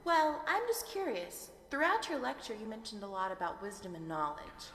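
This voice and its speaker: A woman's voice: fairly high pitch, animated, normal speed, a bit bright. A woman playing a curious, polite young college student asking questions in a radio drama. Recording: echoey hall reverb.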